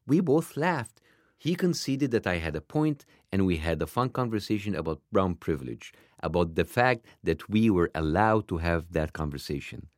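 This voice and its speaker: A man's voice: no room sound, clean studio sound. A man with a foreign accent hosting a podcast, giving a wry scripted monologue and then asking a question. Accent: foreign-accented English. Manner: animated, wry, pitch jumping up for emphasis. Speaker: podcast host.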